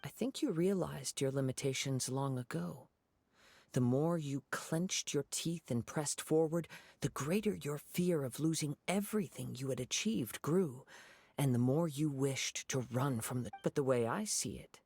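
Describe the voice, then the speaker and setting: An actor playing a calm, perceptive young samurai in a video game, speaking softly and thoughtfully with a husky voice. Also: male voice, medium pitch, dry recording, heavily acted. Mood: measured, reproving. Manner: measured, thoughtful, gently reproving. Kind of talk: dramatic video game voice acting.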